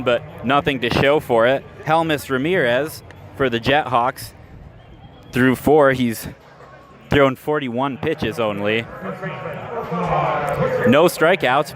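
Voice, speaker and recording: A man calling baseball play-by-play in radio style, measured between pitches and lifting sharply on big plays. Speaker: play-by-play announcer. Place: ballpark stands, outdoors. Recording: live ballpark recording with outdoor noise.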